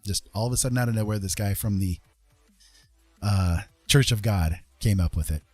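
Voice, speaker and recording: A man's voice: fairly low pitch, moderate pace, warm tone, no room sound, faint music behind. A man hosting a casual livestream, talking in an earnest, emphatic monologue and repeating phrases for stress. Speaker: livestream host.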